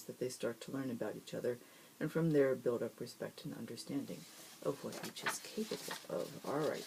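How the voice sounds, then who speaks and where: A host reading fiction aloud and introducing it informally, with expressive storyteller delivery. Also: medium pitch, background noise.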